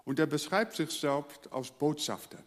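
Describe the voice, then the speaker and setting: Dutch-born pastor preaching in a hall. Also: male voice, fairly high pitch, a little slow. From Netherlands. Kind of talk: sermon.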